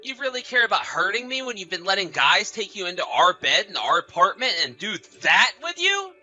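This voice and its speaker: A man's voice: high voice, big pitch swings, a little fast, pushed and bright, soft background music, exaggerated voice. A man playing a betrayed husband in an acted audio drama, bitter, sarcastic and accusatory. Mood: suspicious, sarcastic. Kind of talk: acted audio story dialogue and narration.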